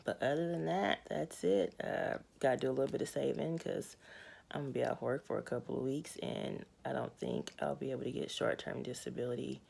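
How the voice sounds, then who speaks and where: A young adult woman with a raspy, hoarse voice, giving a candid, matter-of-fact personal health update to her viewers. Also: extremely low pitch, fairly even intonation, a little fast, a little mellow. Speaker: vlogger.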